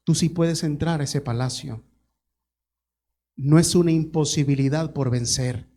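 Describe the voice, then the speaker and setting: A man's voice: fairly high pitch, a little slow, mellow. A male pastor preaching a sermon, telling a story dramatically and emphatically.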